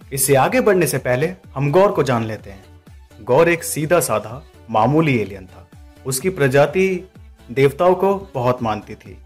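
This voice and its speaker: A man hosting an informal, opinionated movie breakdown as an explanatory voice-over. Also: fairly high pitch. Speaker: video host, trailer breakdown narrator. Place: voice-over recording.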